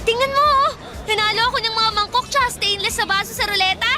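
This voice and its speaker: A woman's voice: extremely high pitch, fast talker. An actress playing a carefree young woman who talks in a bubbly, teasing way, in radio drama style. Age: young adult. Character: cheerful young woman, carefree, fair-loving. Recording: music and crowd noise behind.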